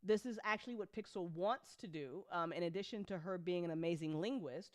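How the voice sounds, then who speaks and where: A woman hosting a casual livestream chat as an audio drama creator, animated and joking, with informal, sweary talk full of y'all. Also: fairly low pitch, brisk, no room sound, clean studio sound. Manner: informal speech with frequent y'all. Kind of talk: casual livestream chat answering audience questions.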